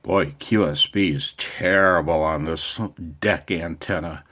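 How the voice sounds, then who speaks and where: A man's voice: deep voice, measured pace, bright, forceful tone. An American man talking off the cuff in a casual vlog as an amateur radio operator, with a wry, self-deprecating manner.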